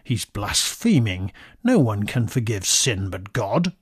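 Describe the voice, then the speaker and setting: A man's voice: fairly low pitch, sing-song, slow pace, husky, dry recording, studio quality, full character voice. A man voicing indignant, disapproving religious leaders in a storybook reading, with a pompous manner.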